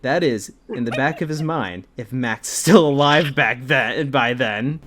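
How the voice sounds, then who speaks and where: A man's voice: medium pitch, a little slow, fairly bright tone. A man hosting an amateur fan fiction reading, moving between story narration and amused, joking banter.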